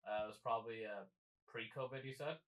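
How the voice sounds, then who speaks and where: A man's voice: mid-range voice, fast, fairly bright tone, echoey room, far from the mic, a little hiss or hum. A man hosting a casual interview, asking questions in a rambling, filler-heavy way and swearing casually. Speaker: podcast host.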